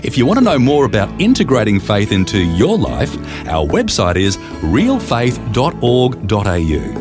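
A man's voice: mid-range voice, wildly swooping pitch, normal speed, over music. A man reading a scripted radio station promo. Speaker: station announcer.

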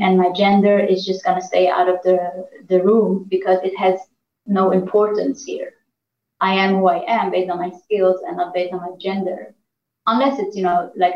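A woman's voice: mid-range voice, slight background noise. Earnest woman in an echoey, muffled room. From Iran. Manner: earnest, many fillers and restarts. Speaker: negotiation trainer and executive coach. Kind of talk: reflective interview answers. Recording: echoey, dull lo-fi room sound.

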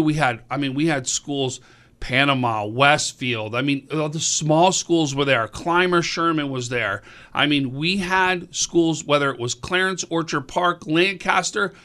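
A man, excitedly recapping a high school all-star game on a sports talk show, rambling and repeating words. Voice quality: booming voice. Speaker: high school sports commentator and event organizer. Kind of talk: casual radio sports talk.

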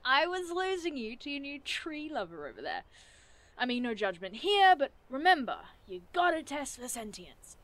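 A woman's voice: sits high, big pitch swings, normal speed, fairly bright tone. A woman playing a sardonic, teasing monster hunter in an audio drama, casual and sarcastic in delivery. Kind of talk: audio drama acting, naturalistic dialogue.

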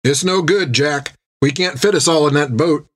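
A man's voice: on the high side, normal speed, pitched above the performer's own voice, natural voice. A man voicing a practical, wary pirate shipmate who speaks plainly and urgently in an audiobook adventure.

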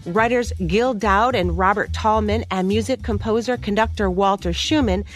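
A woman's voice: mid-range voice, moderate pace. A woman with an American accent co-hosting a radio program, reading scripted narration about radio history. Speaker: radio show co-host. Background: background music.

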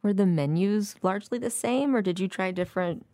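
A woman's voice: mid-range voice, brisk, warm tone, dry recording, studio quality. A woman co-hosting a food podcast, asking questions and reacting with short, encouraging replies. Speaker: podcast co-host. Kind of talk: casual interview chat.

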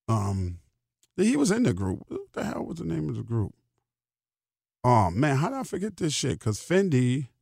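A man's voice: mid-range voice, no room sound, studio quality. A middle-aged American man hosting a solo podcast, talking casually in a rambling, emphatic way with repeated phrases and salty slang. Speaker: podcast host.

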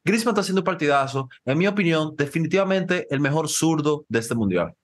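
A man co-hosting a football podcast, talking fast and excitably with lots of slang and jumping in over his co-host. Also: on the high side. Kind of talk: casual football chat.

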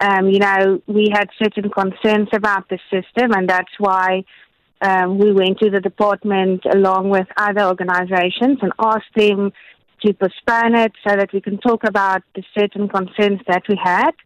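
A woman with an Afrikaans accent, speaking at an average pitch as a guest on a radio interview. She explains her position steadily and pauses often with "um". The sound is dry and close. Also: level tone, no echo, lo-fi sound. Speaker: education project coordinator.

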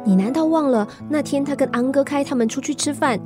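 A woman's voice: high voice, brisk, over music, close to an everyday voice. A woman playing a caring, cheeky young granddaughter in a radio drama, with a coaxing, pleading manner. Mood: earnest, worried.

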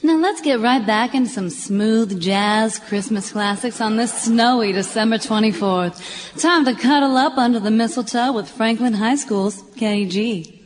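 An actress playing a smooth-jazz radio station announcer in a radio play. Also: female voice, fairly high pitch, unhurried, a little hiss or hum, natural voice. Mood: cosy. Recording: reverberant hall with music behind.

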